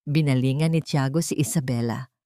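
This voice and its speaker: A woman's voice: rumbling low, brisk, warm tone, dry recording, studio quality. A woman storyteller reading a dramatic scripted story as narration.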